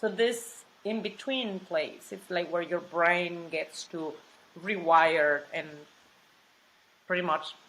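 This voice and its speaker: A woman speaking English with a Mexican accent, her voice a little lower than most. She talks freely and with energy in a casual interview and circles back over her own words. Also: slow pace, rough recording. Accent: Mexican Spanish. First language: Spanish. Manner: animated and talkative, restarts and repeats words, fillers like "like". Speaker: actress, interview guest.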